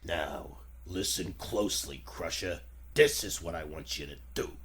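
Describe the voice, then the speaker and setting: A man's voice: sits low, a little slow, fairly bright tone, a little hiss or hum, pitched below the performer's own voice. A man playing a domineering, boastful gangster boss in a 1940s-style radio crime drama. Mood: menacing orders. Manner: domineering, swaggering, tough-talking.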